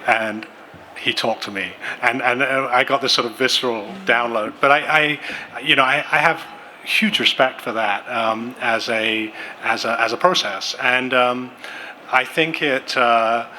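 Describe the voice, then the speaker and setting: A man moderating as an editor at a conference panel, asking long, halting questions full of fillers. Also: mid-range voice, pushed and bright, slight background noise. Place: conference venue.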